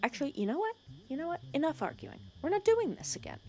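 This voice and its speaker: A female villain voiced by a woman in an intimate ASMR audio drama, fussing over her nemesis with sarcastic, protective scolding. Her voice swings expressively up and down, often falling into a creaky, hushed murmur. She teases and threatens in the same caring breath. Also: sits high, wildly swooping pitch, fast talker, full character voice. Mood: worried, bossy.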